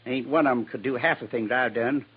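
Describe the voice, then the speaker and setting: A man's voice: mid-range voice, fairly quick, breathy edge, close to an everyday voice. Man acting a proud, ornery old frontier hunter, muffled recording. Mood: scornful. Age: 70s. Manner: rustic, ungrammatical, bitter frontier talk. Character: crippled, proud, ornery buffalo hunter past seventy. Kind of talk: 1950s radio western acting. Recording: dull old 1950s radio recording.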